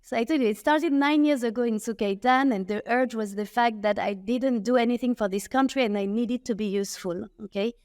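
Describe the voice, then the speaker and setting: A woman's voice: fairly high pitch, fairly quick, few pauses, a little mellow, dry recording, clean studio sound. A woman in her thirties from France with a French accent, speaking earnestly and emphatically as a guest on a remote interview. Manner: earnest, emphatic, with frequent restarts and "okay?" asides. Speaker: guest, tech entrepreneur.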